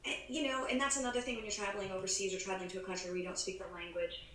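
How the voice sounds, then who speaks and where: A woman's voice: medium pitch, fairly even intonation, racing, fairly bright tone. An American woman chats about travel in a loose, laughing way, often restarting her sentences. Her voice echoes around the room, with a faint hiss of background noise behind her. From United States. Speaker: travel advisor, podcast guest. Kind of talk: casual podcast chat.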